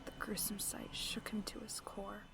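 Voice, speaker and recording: A woman playing a morbid, soft-spoken camper in an audio drama, with a deliberate, eerie storyteller's delivery. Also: mid-range voice, level tone, gravelly, whispered. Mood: ominous, hushed. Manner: hushed, ominous, deliberate.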